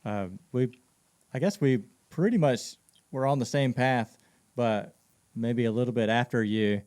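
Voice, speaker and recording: A man's voice: mid-range voice, unhurried, a little mellow. A man co-hosting a podcast, informal and encouraging, with lots of fillers. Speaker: podcast co-host. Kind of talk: casual podcast conversation.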